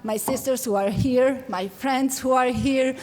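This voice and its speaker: A woman's voice: sits high, measured pace. Woman giving a graduation speech. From Bosnia. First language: Serbian. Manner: warm, joking, laughs between thanks. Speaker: graduating student. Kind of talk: commencement speech. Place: large hall at a graduation ceremony. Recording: echoey hall with a crowd.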